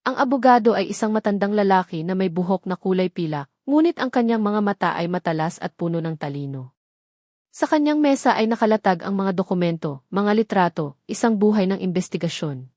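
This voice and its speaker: A woman's voice: mid-range voice, expressive, brisk, darkish tone, no room sound. Filipino lady telling a drama story, scripted narration, super dry close sound.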